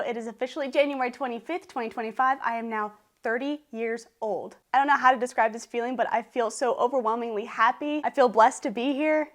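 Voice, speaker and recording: A thirty-year-old woman, chatting to the camera in her living room, emotional and laughing as she talks. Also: high voice. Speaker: YouTuber. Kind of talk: casual vlog talk to camera.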